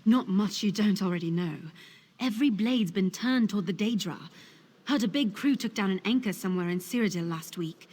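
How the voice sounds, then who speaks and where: An actor playing a gossipy townsperson in a fantasy video game, with a husky voice. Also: medium pitch, a little fast, darkish tone, lightly acted. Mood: matter-of-fact. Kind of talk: video game background character dialogue.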